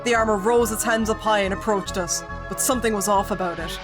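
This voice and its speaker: A woman's voice: mid-range voice, brisk, music in the background. Woman reading a spooky game aloud. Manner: jokey, excitable outbursts between calm reading. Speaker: streamer. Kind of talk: reading a visual novel aloud with reactions.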